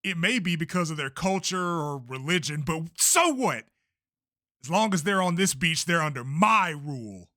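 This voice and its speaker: A man's voice: fairly high pitch, big pitch swings, moderate pace, pushed and bright, no echo, studio quality. A man voicing a blustering older race promoter in a comic dub, theatrical, bossy and emphatic. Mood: irritated, bossy. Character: blustering older male race promoter, secretly fearful showman. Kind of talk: comic-book dub voice acting.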